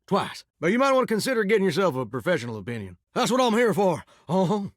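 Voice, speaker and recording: A man's voice: high-pitched, very animated, quick pace, no room sound. A man voicing a talking shower as a chatty, folksy cowboy charmer with a put-on Southern drawl, full of country sayings. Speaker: video game character. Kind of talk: game voice lines. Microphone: close studio mic. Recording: dry studio recording.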